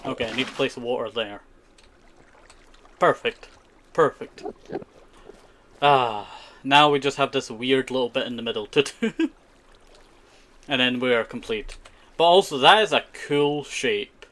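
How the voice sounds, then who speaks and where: Man streaming casually over clear background noise. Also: medium pitch, a little slow, pauses often, fairly bright tone. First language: Scottish Gaelic. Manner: chatty, rambling, repeats short phrases. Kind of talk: casual live gaming commentary with chat.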